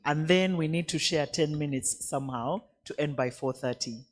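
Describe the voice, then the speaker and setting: A woman moderating a panel, briskly managing turns and time. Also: low-pitched.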